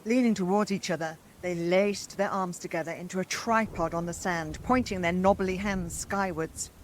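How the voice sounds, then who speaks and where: A woman narrating a story aloud as host, in a dramatic, expressive and theatrical scripted style. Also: on the low side, normal speed, a little hiss or hum, low-quality recording.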